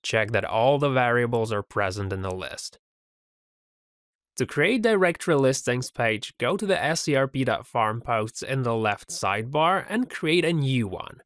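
A man presenting a scripted software tutorial voice-over, upbeat and giving step-by-step instructions. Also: mid-range voice, expressive, moderate pace, no room sound, clean studio sound.

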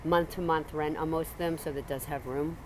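A woman speaking quietly, a little off-mic, as she walks a buyer around an apartment building outside. She lists details plainly and informatively. Outdoor ambience and traffic noise are clearly heard. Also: sits low, fairly even intonation, a little fast. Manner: soft-spoken, informative. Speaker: real estate agent. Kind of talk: property walkthrough.

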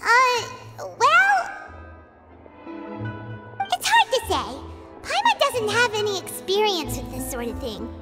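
A woman voicing a small childlike girl companion in a video game, chatty, excitable and expressive. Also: very high voice, slow, pauses often, heavily acted. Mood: chatty, relieved. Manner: refers to self in third person, expressive. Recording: music behind the voice.

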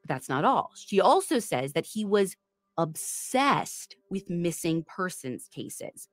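Female podcast host, lively pitch, dry close sound, chatty true crime recap. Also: dry recording. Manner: chatty, animated storytelling.

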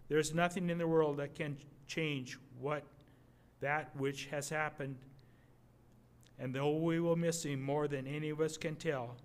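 An older man reading a wartime letter aloud at a podium microphone, measured and a little halting. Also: fairly high pitch, smooth. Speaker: readers theater reader. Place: museum gallery, indoors.

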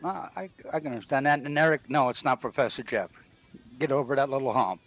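A man's voice: mid-range voice, fast talker, fairly bright tone. A man talking bluntly on a video call, repeating words as he starts his sentences. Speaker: caller on a panel. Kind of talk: casual chat on a video call.

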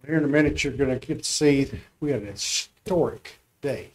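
A man's voice: mid-range voice, normal speed, slight background noise. A man presenting a construction update as a school district official. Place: school board meeting room.

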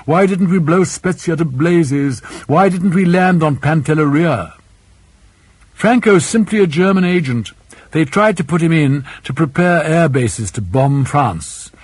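A blustering, middle-aged English politician played by a male reader in a novel. He declaims pompously in a Received Pronunciation accent, pitched up and swooping with indignant belligerence. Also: lively intonation, unhurried, slightly rough, no echo.

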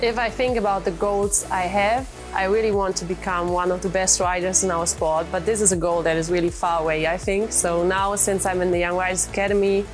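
A young woman with a German accent and a slightly low voice. She is in her early twenties and speaks as a rider in a documentary interview, with music clearly behind her. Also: fairly even intonation, brisk, few pauses. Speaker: show jumping rider. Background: background music.

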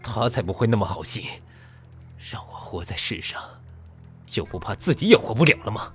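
A man's voice: fairly low pitch, sing-song, moderate pace, fairly bright tone, soft background music. A man playing a proud, embittered, disgraced scholar in a costume radio drama, brooding and intense. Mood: bitter.

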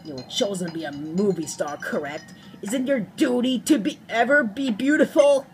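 A woman voicing a grave, grandiose male boss from a video game, speaking in a solemn, pompous, measured way. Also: medium pitch, a little mellow, faint music behind, natural voice. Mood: intense.

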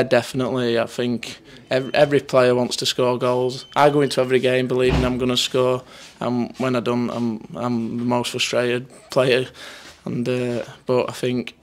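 Flat-toned young Northern English footballer. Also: male voice, mid-range voice, normal speed. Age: 20s. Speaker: footballer being interviewed after a match. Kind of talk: post-match interview, casual. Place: indoors in front of a sponsor board. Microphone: handheld interview microphone held near him.

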